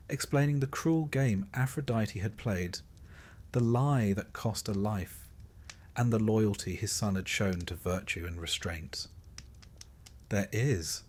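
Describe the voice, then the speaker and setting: A man narrating a scripted bedtime story in a measured way, with dry, wry understatement. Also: low voice, darkish tone, slight background noise.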